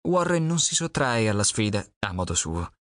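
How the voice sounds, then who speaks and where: A man reads a scripted audiobook presentation at a measured, steady pace. His pitch moves a lot from phrase to phrase. The recording is close and dry, with no room sound. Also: no room sound. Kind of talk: scripted narration.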